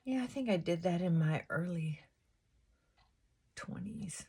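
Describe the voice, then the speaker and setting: A middle-aged woman chats slowly and thoughtfully while getting ready in the morning. Her fairly high voice is held down on purpose, and she drops into soft whispered asides. She speaks in a confessional, reflective way. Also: lively intonation, gravelly, breathy edge. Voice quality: fairly high-pitched voice she works to pull down. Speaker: vlogger. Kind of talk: casual get-ready-with-me chat.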